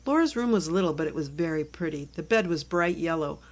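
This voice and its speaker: A woman's voice: sits low, lively intonation, brisk. A woman narrating a read-aloud children's book for early readers in short, separated sentences.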